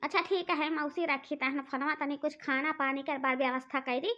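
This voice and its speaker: A woman's voice: sits high, steady tone, moderate pace, pushed and bright. A woman voicing a bossy, quarrelsome elder sister-in-law, with an Awadhi accent, scolding and taunting. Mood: chatty. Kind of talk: cartoon voice acting. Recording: dull lo-fi recording.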